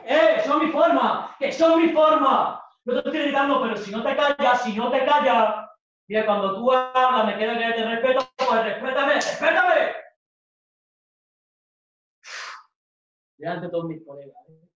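A woman's voice: medium pitch, fairly quick, close to an everyday voice. A woman acts out a volatile, controlling boyfriend with an accent from Spain, hurling accusations in a stage monologue. The delivery is aggressive and repetitive, always demanding respect. It sounds far from the microphone, muffled, in a very reverberant room. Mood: furious, shouting. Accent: Spanish from Spain. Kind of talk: theatrical monologue acting.

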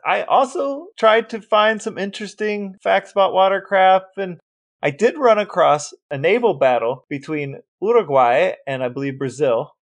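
A man co-hosting a podcast, telling a story in an animated way and acting out quoted voices. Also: sits high, normal speed. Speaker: podcast co-host. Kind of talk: casual chat.

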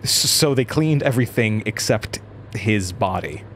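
A man acting a troubled, sardonic radio host in a horror audio drama, halting and sighing. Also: on the low side, expressive, fairly bright tone, slight background noise. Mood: questioning. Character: troubled radio host, weary, sardonic, freshly released from custody.

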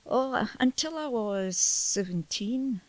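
A woman's voice: on the high side, expressive, slow pace, dry recording. A middle-aged Scottish woman, a storyteller, chatting casually on a podcast and laughing between remarks. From Scotland. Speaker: storyteller guest.

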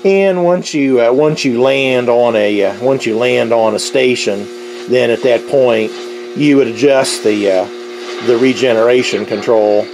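A middle-aged man giving an unscripted, explanatory demonstration as a hobbyist, speaking haltingly with a gravelly voice. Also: mid-range voice, background noise. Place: indoors, at a tabletop radio. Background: shortwave radio playing faintly.

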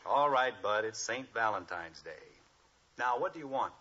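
Animated, teasing American radio dad, played by a man. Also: mid-range voice, moderate pace, heavy background noise, lightly acted. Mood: playful. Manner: playful, mock-grandiose. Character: genial teasing middle-aged suburban father. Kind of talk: 1950s radio sitcom acting. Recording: muffled old radio recording.

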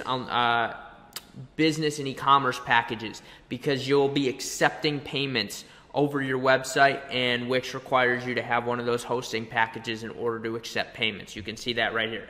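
Tutorial presenter: young male, mid pitch, crisp bright edge, conversational and explanatory, long room reverb. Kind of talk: conversational screen-share tutorial. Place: indoor room, webcam beside screen capture.

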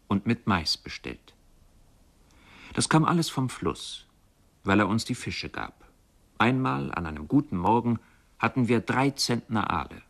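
An actor playing a brooding river fisherman in a literary radio play, with measured, reflective storytelling. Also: lowish voice. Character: brooding poor river fisherman, remorseful and fatalistic.

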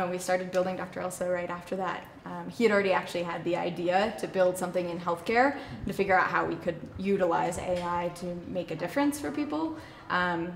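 A woman from Arizona, a nonprofit director, talking about herself in a relaxed, friendly interview indoors. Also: fairly low pitch, roomy sound, slight background noise. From Arizona, United States. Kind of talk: casual interview. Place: indoor room with a plain wall.

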